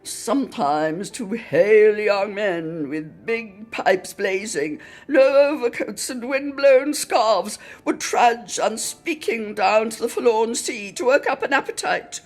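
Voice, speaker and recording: A woman reading a literary Christmas reminiscence aloud in a theatrical, expressive style, lingering on words for emphasis. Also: fairly high pitch, slow, hardly any pauses, faint music behind. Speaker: reader in a radio players' production.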